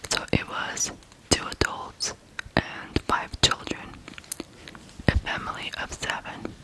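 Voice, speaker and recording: Slow whispering ASMR storyteller from Ukraine. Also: low-quality recording. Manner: soft, deliberate, pausing between short phrases. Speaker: ASMR true-crime host.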